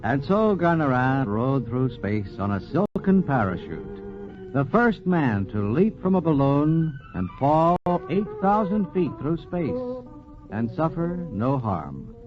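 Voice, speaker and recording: A male narrator from a 1940s radio programme reads with dramatic emphasis. His medium-pitched voice moves expressively up and down. The sound is a dull old broadcast with light music. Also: very animated, slow.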